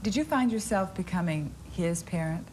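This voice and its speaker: A woman interviewing a guest on a morning TV show, asking measured, probing questions. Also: on the low side, lively intonation, a little mellow, a little hiss or hum, low-quality recording.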